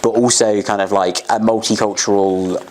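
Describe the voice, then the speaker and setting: A man's voice: on the low side, fairly even intonation, moderate pace, darkish tone, rough recording. A man hosting a casual interview, talking in rambling, filler-heavy sentences. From United Kingdom. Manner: rambling, many fillers and restarts. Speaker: podcast host.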